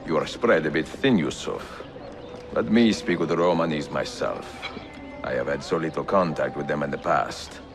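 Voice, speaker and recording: An actor playing a calm, courteous middle-aged assassin master in a video game, with a deep gravelly voice and an Italian accent. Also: male voice, moderate pace. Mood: measured, thoughtful. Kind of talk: cinematic video game acting. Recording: music behind the voice.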